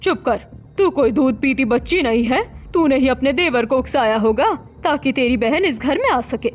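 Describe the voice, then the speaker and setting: A woman playing an anxious, stern middle-aged mother in an animated family melodrama, speaking emphatically. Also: sits high, moderate pace, faint music behind. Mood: scolding.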